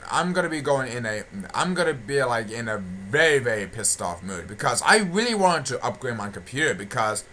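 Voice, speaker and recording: A young British man recording a casual audio log at home, circling back over the same points with plenty of fillers and false starts. His voice has a bright, tense edge, and a faint hiss sits under the recording. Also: medium pitch, lively intonation, brisk, hardly any pauses. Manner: repetitive, meandering, many restarts and fillers. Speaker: channel host.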